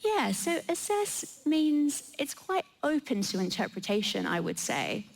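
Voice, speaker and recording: A woman's voice: high-pitched, very animated, measured pace, vocal fry, soft background music. A woman panellist giving a methodical, explanatory presentation at a live event.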